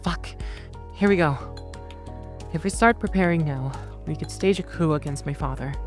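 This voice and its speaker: An actress playing a determined woman, a chief's daughter, speaking in an earnest, measured way over music. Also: deep voice, close to an everyday voice. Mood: resolute. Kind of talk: audio drama acting.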